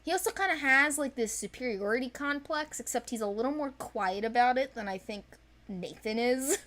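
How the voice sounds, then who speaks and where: A woman's voice: on the high side, lively intonation, fairly quick, a bit bright. A woman chatting casually as a co-host, with a playful, informal manner, talking through fictional characters. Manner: giggly, playful, informal, acting out quotes. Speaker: co-host presenting an animated series project's characters. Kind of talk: casual chat.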